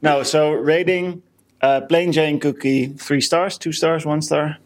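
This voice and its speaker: Brief: young male podcast co-host, slightly higher voice, halting delivery, lots of ums. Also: fairly even intonation. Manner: halting, many fillers and restarts. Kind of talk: casual chat.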